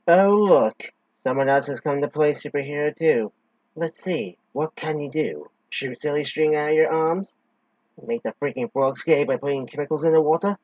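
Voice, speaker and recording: A man playing a sadistic, taunting villain in an amateur audio drama, with a husky voice and a mocking, crude, theatrical manner. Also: fairly high pitch, normal speed, darkish tone, no echo, close to an everyday voice. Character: taunting, sadistic male villain toying with his victim. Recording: dull lo-fi dry recording.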